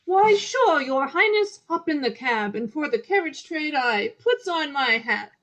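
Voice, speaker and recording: A woman's voice: high voice, very animated, a little slow, a bit bright, dull audio, heavily acted. A woman playing a jaunty, joking male cab driver in an amateur radio play, with a breezy manner. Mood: jaunty, teasing. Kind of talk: community theatre radio play acting.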